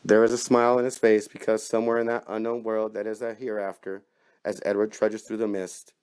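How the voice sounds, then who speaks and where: A man with a very low voice narrates a scripted horror story. His delivery is theatrical and wry, like a knowing host telling a macabre tale. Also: flat delivery, brisk, a little mellow, clear, resonant tone, low-quality recording.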